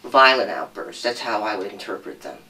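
A man's voice: on the low side, expressive, fairly quick, gravelly, sharp tone. A man giving a reflective account in an interview, recorded from a distance with some noise.

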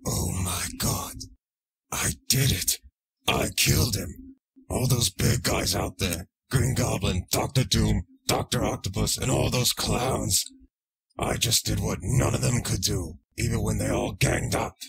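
A man's voice: on the low side, measured pace, vocal fry, husky, exaggerated voice. A man voicing a menacing alien symbiote monster in a comic dub, cruel, arrogant and sneering. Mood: gloating. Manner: sneering, bullying. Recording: loud music behind. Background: loud music.

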